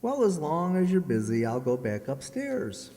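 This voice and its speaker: A man's voice: a little high, huge pitch swings, moderate pace, mellow. A man playing a jealous, fussy, self-pitying husband in a comic radio play, fretful and given to stammering. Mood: sheepish, jealous. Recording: reverberant hall stage recording.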